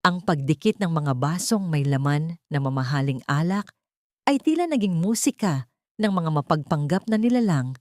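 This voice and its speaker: A woman reading a scripted dramatic love story as narrator, in a measured, solemn manner. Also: lowish voice, darkish tone, no room sound, clean studio sound.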